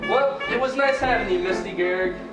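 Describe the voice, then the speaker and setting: A young man playing a polite, admiring radio interviewer who asks questions haltingly in a sketch. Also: extremely high pitch. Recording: roomy distant mic under music. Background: instrumental music.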